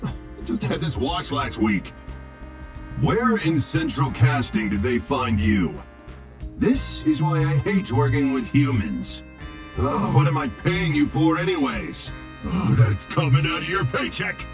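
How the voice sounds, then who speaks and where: An actor playing an arrogant, impatient robot Hollywood director in a video game, with a pompous, exasperated, theatrical delivery. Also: male voice, medium pitch, wildly swooping pitch, measured pace, over music. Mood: scornful. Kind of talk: video game character acting.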